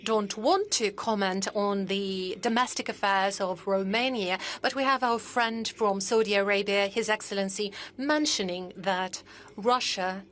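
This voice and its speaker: A woman interpreter giving a formal, measured simultaneous interpretation. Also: medium pitch, fairly bright tone.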